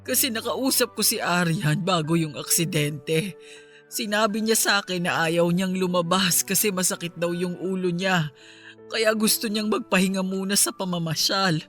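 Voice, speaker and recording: A woman's voice: on the low side, moderate pace, fairly bright tone, faint music behind, lightly acted. A woman playing a grieving, bitter middle-aged mother in an emotional, accusatory Filipino radio drama style. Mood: shaken, suspicious.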